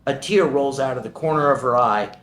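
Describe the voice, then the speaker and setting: A man's voice: medium pitch, brisk, a bit bright. Man reading stage directions in an echoey room. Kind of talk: screenplay table read narration.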